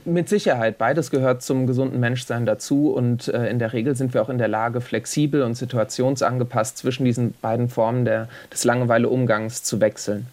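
A man, a neuroscientist, answering interview questions in a measured, explanatory, academic manner with some fillers. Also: medium pitch, steady tone, a little mellow. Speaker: neuroscientist guest. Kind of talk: radio interview.

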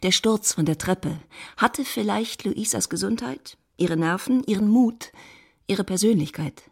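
A woman narrating a literary period radio play as a wry, intimate storyteller, with a creaky edge to the voice. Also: medium pitch, animated, a little slow, darkish tone. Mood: wry, reflective.

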